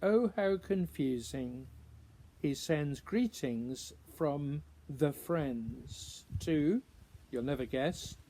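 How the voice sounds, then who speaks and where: Male poet for a spoken reading: unhurried, musing delivery with pauses, slightly high voice, some background noise. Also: animated, mellow. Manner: deliberate, pausing between short phrases, musing questions. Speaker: writer reading own poem. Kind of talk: introduced poetry reading, measured recitation.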